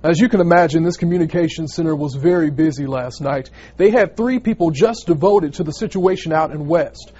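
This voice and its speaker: A man's voice: on the high side, brisk, few pauses, warm tone, slightly rough, highs cut off. A man working as a TV field reporter, delivering a live news report. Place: emergency communication center.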